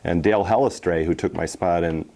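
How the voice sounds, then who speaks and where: A man in his forties, a former football player, telling stories from his playing days in a relaxed interview, with many fillers and restarts. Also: sits low, brisk, creaky. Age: 40s. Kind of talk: casual storytelling interview.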